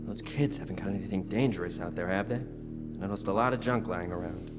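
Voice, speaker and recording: A man's voice: sits low, brisk, close to an everyday voice. A man acting a suburban husband and father in a classic radio drama style, theatrical and demanding. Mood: concerned, insistent. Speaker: actor in a live stage radio play. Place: on stage in a school hall. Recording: echoey stage hall, music behind.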